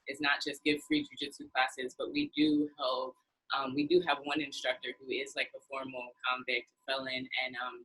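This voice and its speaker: A woman hosting a podcast, telling stories in casual chat, animated and full of "like". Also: rumbling low, breathy edge, rough recording.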